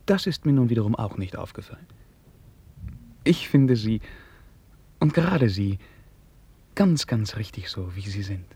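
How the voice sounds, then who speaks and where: An actor playing a sheltered, well-bred young prince in a radio drama, formal, hesitant and earnest. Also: male voice, fairly low pitch, animated, measured pace, long pauses, dark tone, husky, exaggerated voice. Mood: shy, courteous. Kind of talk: German radio drama acting.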